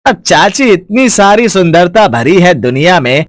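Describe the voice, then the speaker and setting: Man acting lecherous village villain, wildly animated. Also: high voice, measured pace, dry recording, clean studio sound. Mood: leering, taunting. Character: lecherous shameless young male village villain. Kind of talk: acted audio story dialogue.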